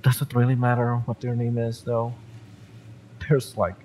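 A man's voice: mid-range voice, completely flat delivery, moderate pace, a little mellow, a little hiss or hum, close to an everyday voice. A man playing a fiery, bitter young mercenary in an audio roleplay, sardonic and taunting, with sighs and swearing. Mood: taunting, impatient. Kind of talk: romance audio roleplay acting, addressed to the listener.

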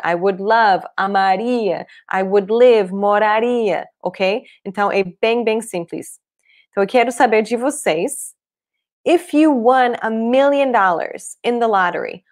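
Slow-paced woman teacher, native English speaker. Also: mid-range voice. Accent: English-speaker accent in Portuguese. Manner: clear, deliberate explaining with repeated examples. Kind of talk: live online language lesson. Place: small room indoors, at a desk.

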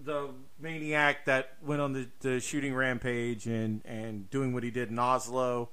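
Bright, forceful man, emphatic podcast host. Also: medium pitch, level tone, a little slow, smooth. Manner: emphatic, swearing, repeats points for stress. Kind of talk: opinionated casual discussion.

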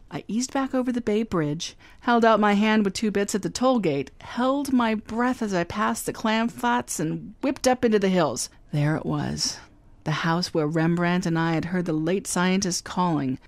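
A woman's voice: fairly low pitch, a little mellow, dry recording, lightly acted. A woman playing a wisecracking female private detective who narrates in wry, snappy hardboiled style, in a radio drama. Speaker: narrator and lead.

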